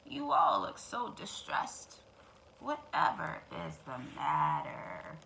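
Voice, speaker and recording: A woman voicing a sly, oily male fox from a children's storybook, slow and coaxing with feigned kindness. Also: deep voice, sing-song, pushed and bright, slight background noise, pitched below the performer's own voice, exaggerated voice, rough recording. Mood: feigned concern. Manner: slow, drawn-out, smoothly coaxing.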